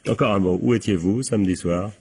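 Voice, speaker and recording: An actor playing a sardonic police captain in a French radio crime drama, husky and colloquial, prodding with short tag questions. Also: male voice, on the low side, quick pace, darkish tone. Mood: forceful, accusing. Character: male police captain, insistent, sardonic interrogator.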